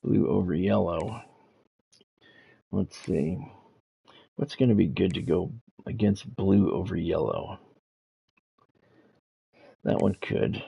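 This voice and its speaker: A man's voice: sits low, takes it slowly, creaky, long pauses, warm tone, slightly rough. An American man chatting casually and doing sports commentary as a game streamer, informal and wry with a self-deprecating streak. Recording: dull, lo-fi microphone sound.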